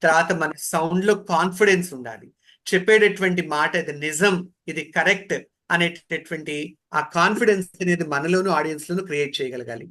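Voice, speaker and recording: A man with a Telugu accent giving an online training lecture as an instructor, speaking deliberately and mixing in English terms. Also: on the high side, bright, forceful tone.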